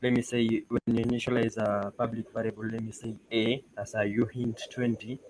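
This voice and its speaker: A man's voice: medium pitch, little pitch movement, a little fast, darkish tone, slight background noise, low-quality recording. A man answering a question on an online call, hesitant and full of fillers. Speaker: student on a call. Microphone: online call mic.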